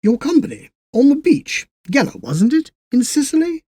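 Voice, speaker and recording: A man's voice: extremely high pitch, very animated, normal speed, warm tone, dry recording, clean studio sound, pitched above the performer's own voice. A man voicing a sly, evasive railway station master in an audiobook story, clipped and probing.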